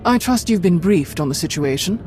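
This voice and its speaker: An actress playing a stern woman Sith lord who speaks in a brisk, authoritative way. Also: on the low side, lively intonation, warm tone, soft background music, lightly acted. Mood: commanding.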